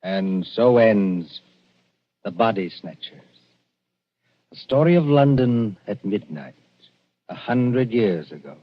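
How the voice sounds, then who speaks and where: A man announcing formally on 1940s radio, with a measured, dramatic and deliberate delivery. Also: on the low side, expressive. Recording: muffled 1940s radio broadcast.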